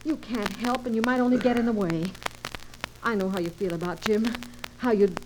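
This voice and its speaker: A woman's voice: mid-range voice, fairly even intonation, normal speed, lightly acted. An actress playing a plucky, worried female adventurer in an old radio serial, earnest and urgent. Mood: concerned, pleading. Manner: earnest, urgent, crisp pleading. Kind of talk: 1940s-50s radio adventure serial acting. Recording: crackly old radio recording.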